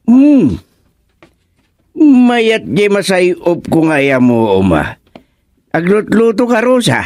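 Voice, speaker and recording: A hearty older village father in a radio comedy. He drawls slowly and exclaims, his pitch swooping up and down in a close, dry recording. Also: sing-song, a little mellow, no room sound, heavily acted. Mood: jovial. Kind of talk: Ilocano radio comedy drama acting.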